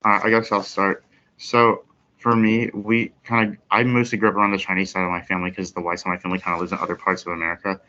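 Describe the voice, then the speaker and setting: Teen boy, very low voice, bright edge, casual, muffled recording. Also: little pitch movement, fast, slight background noise. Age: teens. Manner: casual, matter-of-fact. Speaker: student guest.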